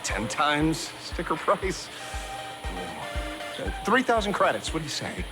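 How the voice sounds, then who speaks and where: An actor playing a famous detective in a video game, theatrical and brooding yet awkwardly polite. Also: male voice, on the high side, sing-song, unhurried. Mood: flustered, pleading. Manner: theatrical, hesitant stammering when flustered, sighing. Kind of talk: dramatic game voice acting. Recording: music behind the voice.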